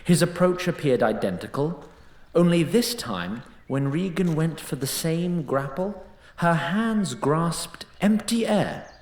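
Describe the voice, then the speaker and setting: Theatrical male narrator with very animated delivery. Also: a little high, takes it slowly, echoey room. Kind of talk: dramatic scripted storytelling narration.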